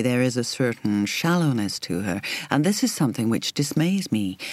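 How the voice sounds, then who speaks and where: A woman reading a first-person novel as an audiobook narrator, in a measured, reflective, carefully articulated way. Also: extremely low pitch, a little mellow, no echo.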